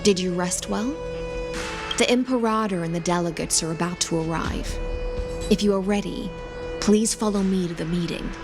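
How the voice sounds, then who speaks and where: A woman playing a composed, enigmatic imperial herald in a video game, poised, unhurried and formal. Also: lowish voice, music in the background, lightly acted. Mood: calm, knowing. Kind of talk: scripted video game drama acting.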